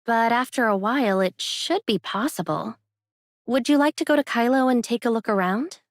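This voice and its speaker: A woman voicing an earnest, excitable young character in an anime game, speaking expressively. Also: a little high, normal speed, dry recording, studio quality. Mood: polite, composed.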